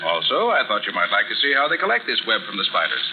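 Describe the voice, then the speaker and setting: A self-assured detective from a 1940s radio serial, courteous and probing. His delivery swings expressively in pitch. The sound is thin as a telephone, with clear noise. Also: huge pitch swings. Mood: confident, courteous. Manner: brisk, courteous, inquisitive. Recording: tinny, noisy 1940s radio recording.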